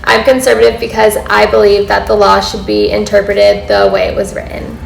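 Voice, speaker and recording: A woman's voice: mid-range voice, little pitch movement, a little fast, gravelly, faint music behind. A woman in her twenties from Florida, a law student, introducing herself in a composed, matter-of-fact way. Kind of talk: self-introduction. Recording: echoey room.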